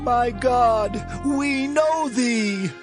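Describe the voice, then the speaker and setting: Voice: medium pitch, extremely slow, faint music behind. An actor voicing the people of Israel crying out to God in a dramatized scripture reading. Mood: pleading.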